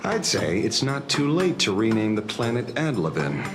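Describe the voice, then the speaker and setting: A deep, menacing old mercenary. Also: male voice, very animated, normal speed. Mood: curt, menacing. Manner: curt, threatening. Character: menacing, world-weary old male mercenary. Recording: music behind the voice.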